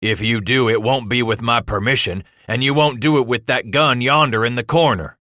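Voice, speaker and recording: Man acting a gruff, thundering frontier father. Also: medium pitch, moderate pace, pushed and bright, dry recording, clean studio sound, natural voice. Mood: stern. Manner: thundering, rustic folksy speech. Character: angry domineering frontier father, gruff and stern.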